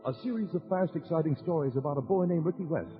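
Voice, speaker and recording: Organ music plays clearly behind a muffled vintage radio recording. A male announcer speaks over it in a high, grand, dramatic late-1940s adventure style. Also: fast talker. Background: loud organ music.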